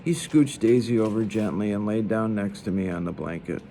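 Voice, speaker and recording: Low, creaky male storyteller. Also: moderate pace, dark tone, a little hiss or hum. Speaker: reader of a children's novel. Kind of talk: read-aloud storytelling.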